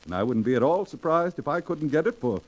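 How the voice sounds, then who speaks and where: Lively, smooth-talking Western swindler. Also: male voice, a little high, fast talker, rough recording. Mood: eager, persuasive. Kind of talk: old-time radio drama acting.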